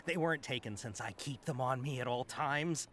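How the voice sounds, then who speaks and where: A man's voice: mid-range voice, normal speed, fairly bright tone, a little hiss or hum, natural voice. A man voicing an eager, grateful caravan trader in a video game, with an earnest, obliging manner.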